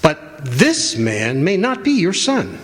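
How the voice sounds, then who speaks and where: Actor as persistent reporter, high animated male voice, insistent questions, unhurried, radio play, echoing hall. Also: noisy background, exaggerated voice. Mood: pleading, insistent. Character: earnest, persistent newspaper reporter. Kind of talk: radio drama acting. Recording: echoey hall stage recording.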